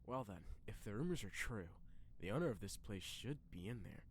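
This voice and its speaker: A man playing a brash, self-deprecating young man in an animated, expressive anime dub style. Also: lowish voice, normal speed, a little hiss or hum. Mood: casual, calculating.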